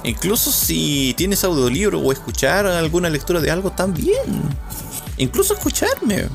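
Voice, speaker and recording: A man's voice: high-pitched, very animated, normal speed, creaky, over music. A man with a Chilean accent, hosting a rambling, self-mocking podcast monologue with lots of swearing. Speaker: podcast host.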